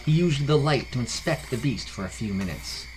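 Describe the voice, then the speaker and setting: A man reads scripted fantasy narration. He is the storyteller of a podcast. A clear layer of noise runs behind his voice. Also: medium pitch, animated, normal speed.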